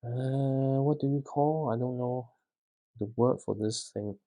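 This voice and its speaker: A man who is not a native English speaker vlogs casually while building a toy set at home, talking quietly and haltingly with many fillers and a dry throat. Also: medium pitch.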